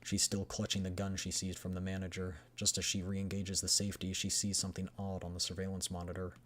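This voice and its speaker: A low-pitched streamer reads a game story aloud. The delivery moves between scripted narration and casual commentary on the game. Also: completely flat delivery, fast talker, fairly bright tone.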